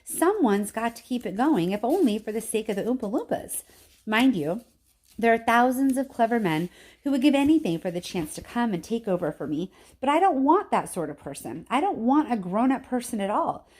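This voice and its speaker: A woman's voice: a little high, very animated, normal speed, darkish tone, pitched above the performer's own voice. A woman voicing an eccentric, eager old male factory owner with an emphatic, cheerful, insistent way of talking in a storybook reading. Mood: earnest, confiding. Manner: emphatic, exclamatory.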